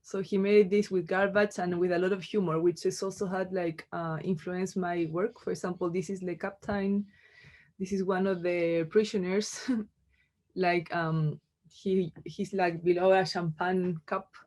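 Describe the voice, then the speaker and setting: A woman from Chile with a Chilean accent, a native Spanish speaker, giving an informal artist talk in a halting, conversational way peppered with filler words. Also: lowish voice, level tone, darkish tone. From Chile, Santiago. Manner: halting, conversational, frequent "like" and "you know". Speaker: visual artist giving a lecture.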